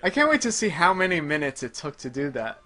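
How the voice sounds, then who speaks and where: Male game-show streamer, higher-pitched and sharp, easygoing play-by-play chat, music behind. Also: big pitch swings, fast. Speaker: gaming show host playing a video game. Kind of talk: casual chat, live game commentary. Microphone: microphone on a group internet voice call.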